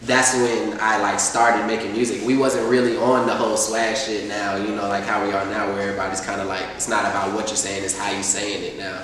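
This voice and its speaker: A man's voice: on the low side, flat delivery, brisk, few pauses, a bit bright. A young man, a rapper, chatting casually in an interview, rambling with lots of fillers. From Atlanta, US. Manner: laid-back, rambling with many fillers. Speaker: rapper guest. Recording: echoey room, far from mic, faint noise.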